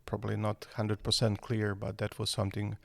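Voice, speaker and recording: A man's voice: on the low side, completely flat delivery, brisk, creaky, dry recording. A man, answering podcast interview questions hesitantly with many fillers. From Serbia. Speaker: guest architect.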